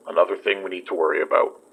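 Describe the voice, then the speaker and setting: A man's voice: sits low, gravelly, a bit bright, slightly rough, full character voice. An actor playing a polite, practical and uneasy male companion in a naturalistic audio drama. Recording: muffled, band-limited audio.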